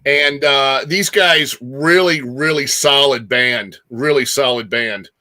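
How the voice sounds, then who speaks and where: Bright-voiced man chatting about music. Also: medium pitch, slow pace. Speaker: music show host. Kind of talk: casual rock music chat.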